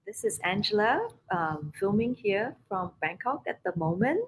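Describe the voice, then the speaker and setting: A woman's voice: medium pitch, big pitch swings, normal speed, breathy edge, low-quality recording. A woman in her forties from Singapore with a Singaporean English accent, hosting a casual live-streamed business chat over a video call, upbeat and chatty. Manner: upbeat, chatty, many fillers. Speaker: podcast host. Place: room abroad, indoors, video call. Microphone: webcam on video call.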